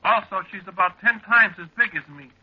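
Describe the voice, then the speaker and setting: A man's voice: sits high, a little fast, slightly rough. An American actor in a 1940s radio drama playing a bitter, proud, sarcastic sideshow midget with slangy tough-guy patter. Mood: defensive. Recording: muffled old radio recording.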